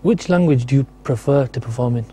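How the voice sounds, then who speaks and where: A young male presenter hosts a live TV studio show, talking quickly and informally. His voice is mellow and warm in tone. He sounds eager with callers and guests alike. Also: mid-range voice, slightly rough. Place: TV studio set.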